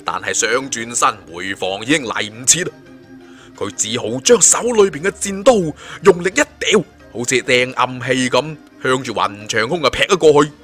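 A man's voice: mid-range voice, expressive, moderate pace, fairly bright tone, soft background music. A man with a Hong Kong Cantonese accent narrating a fantasy story in a dramatic, theatrical and emphatic way. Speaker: audiobook storyteller.

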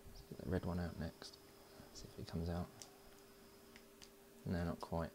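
A man casually talking through hands-on electronics work, unhurried and thinking aloud. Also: low-pitched, level tone, noisy background. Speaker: host of an electronics build video. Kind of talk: casual hands-on tutorial narration. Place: indoors at a workbench.

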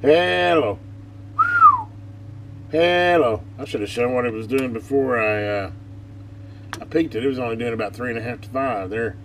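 A man's voice: medium pitch, normal speed, long pauses. A man giving a casual, folksy hands-on bench demonstration as host of an electronics repair channel. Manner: folksy, informal talk. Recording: distant mic, noisy with loud hum.

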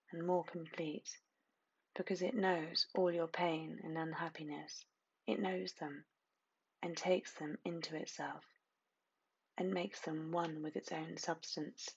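A woman narrating a scripted guided meditation in a soothing, hushed, hypnotic manner, lingering on repeated phrases with long pauses. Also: fairly low pitch, gravelly, breathy edge, muffled recording.

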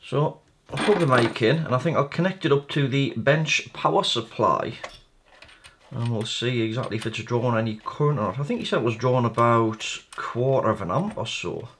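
A man's voice: medium pitch, animated, normal speed, a bit bright, a little hiss or hum. A man hosting a repair video, casually narrating his hands-on work at a bench, thinking aloud in a tentative way. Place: indoor workbench.

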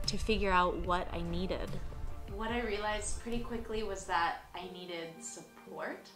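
A woman in her thirties from the United States, narrating a personal travel vlog in an earnest, reflective, measured way. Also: on the low side. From United States (Colorado). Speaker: travel vlogger. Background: soft music bed.

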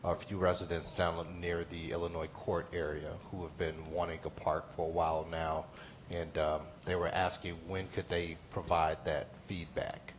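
Deep flat-voiced councilman. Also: normal speed, background noise. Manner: casual, with ums. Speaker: city council member. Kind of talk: meeting remarks. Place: council chamber.

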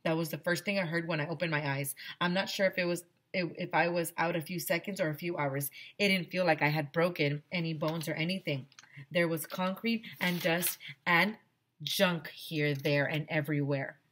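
Woman, middle-aged, low pitch, teacher's expressive read-aloud, children's book, headset mic. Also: moderate pace. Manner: animated, acts out dialogue. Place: small decorated home classroom. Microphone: headset microphone.

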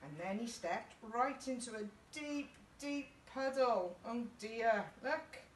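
A woman's voice: on the high side, expressive, slow. Middle-aged British female storyteller for small children, child-directed questions, roomy distant sound with faint noise. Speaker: story time host reading to children. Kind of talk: children's picture book read-aloud. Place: small indoor youth group playroom. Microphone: camera a couple of metres away. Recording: echoey room, distant mic, faint background noise.

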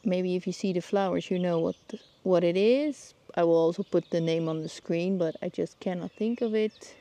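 A Dutch woman filming herself outdoors in her garden with a handheld phone. She talks casually and often pauses to search for plant names. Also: fairly low pitch, mellow. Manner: searching for words, thinking aloud. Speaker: gardening vlogger.

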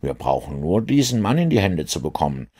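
Man reading as earnest, lively police inspector. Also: low voice, brisk, slightly rough, exaggerated voice. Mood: puzzled, probing. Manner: questioning, insistent. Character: earnest, sceptical, matter-of-fact male police inspector.

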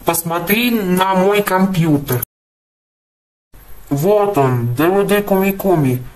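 A performer voicing an excitable, money-hungry young red dragon in an amateur cartoon, with simple declarative delivery. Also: mid-range voice, slow. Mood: eager. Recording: music behind the voice.

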